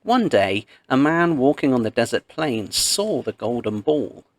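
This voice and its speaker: Male narrator for children's storybook read-along: unhurried, expressive, mid-range voice, dry studio-like sound. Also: mid-range voice, no echo. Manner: measured, expressive storytelling with pauses. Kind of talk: scripted read-aloud storytelling of a myth.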